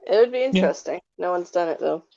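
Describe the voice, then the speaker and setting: A woman's voice: fairly low pitch, animated, fast, creaky, mellow, no room sound. A woman chatting casually in a podcast discussion, speaking in a tentative, hedging way. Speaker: podcast panelist. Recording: slightly dull, lo-fi audio.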